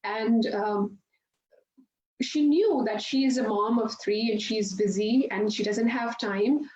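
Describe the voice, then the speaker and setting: A woman's voice: on the high side, slow pace. Chatty woman in a roomy studio. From India. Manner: chatty and warm, laughs often while telling stories. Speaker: yoga and meditation instructor, guest. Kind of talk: casual interview storytelling. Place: her home yoga studio. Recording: roomy, dull video-call audio with faint noise.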